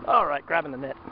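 A man talking casually by a lake while filming, cheering and laughing as fish come in. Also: fairly high pitch. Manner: excited, laughing, cheering on the catch. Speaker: fishing vlogger filming. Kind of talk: casual outdoor commentary. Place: lakeside outdoors. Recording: muffled, loud outdoor noise.

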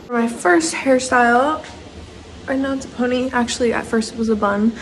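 A woman's voice: fairly high pitch, normal speed. A young woman chatting casually and playfully to a handheld camera as she vlogs. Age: young adult. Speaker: vlogger. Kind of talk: casual vlog chat to camera. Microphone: handheld camera at arm's length. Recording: noisy handheld recording, bus and crowd chatter.

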